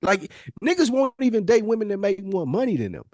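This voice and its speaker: A man's voice: high voice, very animated, brisk, a little mellow, no room sound, clean studio sound. An American man chatting casually as a podcast host, in a conversational back-and-forth style. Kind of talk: casual chat.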